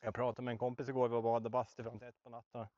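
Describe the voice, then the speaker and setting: A man's voice: medium pitch, no echo, muffled recording. A man, casually narrating a cycling trip outdoors and pointing out sights along the road as he rides. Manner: relaxed, switches between Swedish and English, points out sights along the route. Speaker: vlogger and tour guide. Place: outdoors on a bicycle along country roads.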